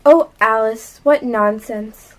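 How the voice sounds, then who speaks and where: A woman's voice: fairly high pitch, slow. A female amateur playing a bookish, dismissive older sister in a radio play.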